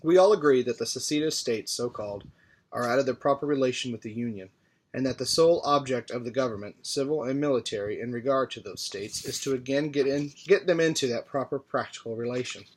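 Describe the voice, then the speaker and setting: A man reading a formal historical political speech aloud in a measured, deliberate way. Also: mid-range voice, slight background noise. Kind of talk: formal oratory read aloud from a text.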